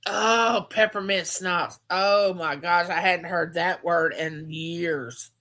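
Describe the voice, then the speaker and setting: A chatty woman in her fifties hosts a relaxed livestream, swapping stories with her viewers. Her voice is bright and sits a little low, and she leans on repeated phrases for emphasis. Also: slow pace. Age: 50s. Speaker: livestream host. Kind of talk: casual live chat.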